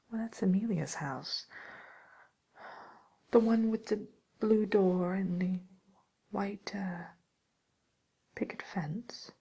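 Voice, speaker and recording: A woman playing a gossipy, mildly menacing witch in a whispered ASMR roleplay, speaking in a breathy, hesitant, musing way. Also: medium pitch, full character voice. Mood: curious, probing. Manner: hesitant, musing, softly sly.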